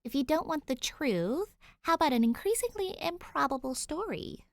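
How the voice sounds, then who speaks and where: A woman's voice: sits high, big pitch swings, moderate pace, no room sound, voice pushed up. A woman voicing a cheeky, reckless teenage girl, playful and mischievous, in an audiobook story. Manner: mischievous, flippant, giggly. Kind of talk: audiobook character dialogue.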